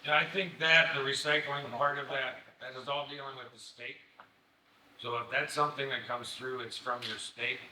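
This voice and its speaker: A man's voice: medium pitch, steady tone, normal speed, bright, forceful tone. A man, a township official, explaining permit rules firmly at a public meeting. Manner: firm, explanatory. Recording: echoey hall, faint noise.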